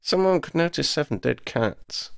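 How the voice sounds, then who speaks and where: A man voicing a caring, brisk girl who leads a band of companions in a storybook. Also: fairly high pitch, expressive, vocal fry, clear, resonant tone, voice pushed up. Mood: concerned, curious.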